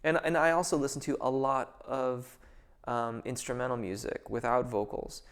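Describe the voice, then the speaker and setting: A man's voice: mid-range voice, fairly even intonation, normal speed, smooth. A man answering viewer questions as a vocal coach in a casual live Q&A, thoughtful and hesitant, with many fillers and restarts before careful technical explanations.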